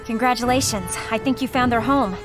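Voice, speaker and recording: A woman playing a brisk, practical adventurer companion in a fantasy video game. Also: fairly high pitch, over loud music, natural voice. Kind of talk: scripted fantasy game acting.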